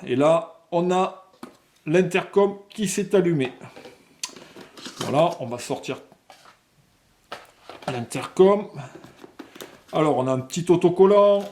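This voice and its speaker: A man presenting a product unboxing, explaining technical details step by step and sometimes halting to find words. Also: fairly high pitch, mellow. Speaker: product presenter for a motorcycle accessories shop.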